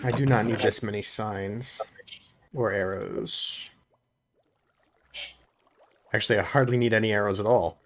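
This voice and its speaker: A man hosting casual online game chat, relaxed and dry, who explains game details to his friends. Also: on the low side, a little slow, long pauses. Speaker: channel host, gamer. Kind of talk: casual chat while playing.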